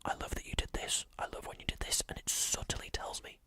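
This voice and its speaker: A young man talking to his chat in a soft, rambling whisper on an ASMR stream, often repeating himself. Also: brisk.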